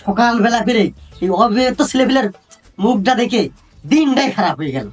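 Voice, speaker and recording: A man acting a bragging, grumbling village husband in a comedy skit. The voice is high and hurried, picked up from a little distance with music behind. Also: animated. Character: boastful married village man who fears his wife.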